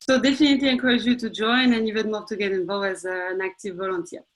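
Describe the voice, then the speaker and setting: A woman's voice: mid-range voice, fairly even intonation, fast talker, low-quality recording. French-accented woman presenting on a video call. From France. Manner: enthusiastic, many fillers. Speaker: executive director. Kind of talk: webinar presentation.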